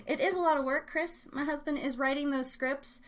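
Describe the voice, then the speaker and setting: Chatty high-voiced woman hosting a livestream. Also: steady tone, fairly quick. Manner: bubbly, playful, scattered. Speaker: live online show host. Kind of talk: casual rambling livestream chat.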